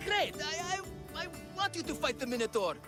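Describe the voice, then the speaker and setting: An actor playing a nervous, theatrical swindler in a video game, stammering through hesitant sales patter. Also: male voice, very high-pitched, big pitch swings, fairly quick. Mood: showy, nervous. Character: nervous, theatrical swindling huckster, a frightened father. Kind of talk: video game character acting. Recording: music behind the voice.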